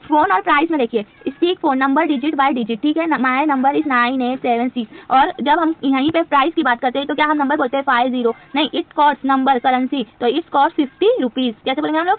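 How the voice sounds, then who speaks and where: Woman teacher, high voice, talks fast, quizzes and answers herself, tinny phone-ish sound. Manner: repeats quiz questions and answers them herself; drawls English example sentences slowly. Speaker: English teacher. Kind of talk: spoken English lesson for Hindi speakers.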